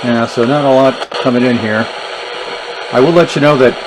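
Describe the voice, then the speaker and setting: A man giving a casual, unscripted gadget demonstration, thinking aloud in a low-key, hesitant way. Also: fairly even intonation, normal speed. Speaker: vintage radio reviewer demonstrating gadgets. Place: indoors in a basement. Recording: noisy recording with radio static. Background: radio static and hiss.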